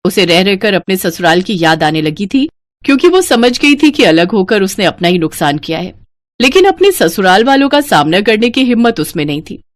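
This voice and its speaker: Expressive woman storyteller, animated pitch. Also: normal speed, a little hiss or hum. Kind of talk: scripted, expressive story narration for a cartoon.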